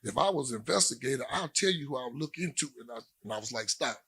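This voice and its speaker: Man, husky voice, halting plain testimony, panel talk. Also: medium pitch, lively intonation, a bit bright. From Louisiana. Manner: plain-spoken, halting with many "um" pauses. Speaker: panel guest, former prisoner.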